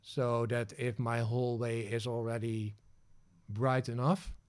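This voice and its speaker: A man's voice: medium pitch, little pitch movement, takes it slowly, a little mellow, clean tone. A man whose first language is Dutch hosts a livestream, walking through a tutorial on screen and thinking aloud, with frequent fillers and restarts. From Netherlands. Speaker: livestream host. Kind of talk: casual screen-share tutorial.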